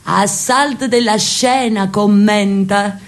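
An actress playing an elderly sister from Naples in a radio drama, telling a street story with lively, affectionate chatter. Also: female voice, mid-range voice, takes it slowly. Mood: storytelling. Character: elderly Neapolitan sister, talkative, loves her city.

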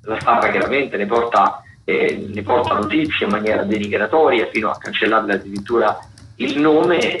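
Guy on community radio reading out whats on, kinda stop-start, middling pitch, bit of hiss. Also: a bit bright. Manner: halting, stop-and-start phrasing with repeated words. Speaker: radio host presenting theatre listings. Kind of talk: semi-improvised spoken event listings on radio. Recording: muffled, noisy community radio broadcast.

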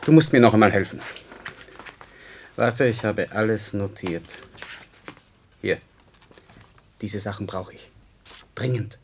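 Intense young physicist. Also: male voice, lowish voice, slow, long pauses, a little hiss or hum. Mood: urgent, pleading. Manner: intense, insistent, lecturing. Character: driven young male physicist, secretive and impatient. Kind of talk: German science fiction radio play acting.

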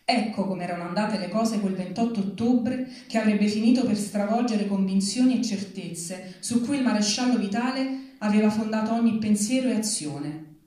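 A woman's voice: mid-range voice, moderate pace, mellow. A woman reading literary prose aloud at a live public reading. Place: large reverberant venue, live event. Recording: echoey hall, distant from microphone.

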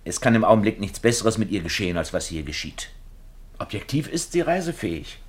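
An actor playing an earnest, reassuring doctor in a 1950s German radio play, frank and insistent. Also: male voice, lowish voice, big pitch swings, brisk.

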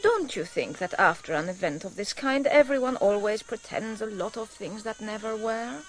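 A woman's voice: mid-range voice, normal speed, close to an everyday voice, poor audio. A woman playing a gushing, evasive, nervy wife in a radio drama, with an insistent manner. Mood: defensive.

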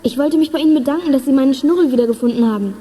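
An actress playing a plucky, polite girl detective in a children's radio play, speaking briskly and earnestly. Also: sits high, dark tone, lightly acted. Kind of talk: 1980s German children's radio play acting.

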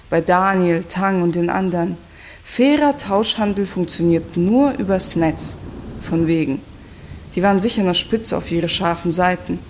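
An actress playing a bitter, reflective commune activist in a scornful, brooding radio play monologue. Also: female voice, fairly low pitch, a little mellow, slight background noise, natural voice. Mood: wry, reflective.

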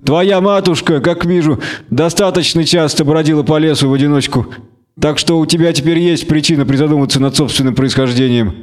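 An unshakeable monster hunter played by a male reader, speaking tersely and with cool composure. His calm delivery carries a quiet threat, in fantasy audiobook style. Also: on the high side, fairly quick, lightly acted. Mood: calm, cutting. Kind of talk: audiobook character acting.

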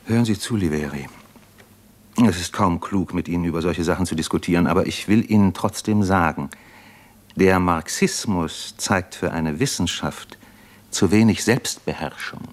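An actor playing a weary, disillusioned captive doctor in a German radio play, articulate and bitterly ironic. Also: male voice, deep voice, normal speed. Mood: earnest, impassioned. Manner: articulate, bitterly ironic, impassioned in long speeches.

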